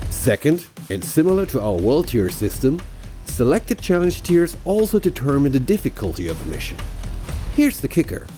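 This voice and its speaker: Lively, mellow male game presenter. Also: medium pitch. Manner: chatty, playful direct address. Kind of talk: scripted promotional explainer narration. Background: music.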